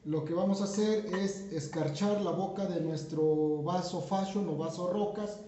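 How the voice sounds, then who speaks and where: A man explains a drink recipe step by step to viewers, unhurried, with many fillers and restarts. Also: sits high, mellow. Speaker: home bartender, channel host. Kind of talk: step-by-step cocktail tutorial. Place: home kitchen counter, indoors. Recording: roomy, dull lo-fi camera audio.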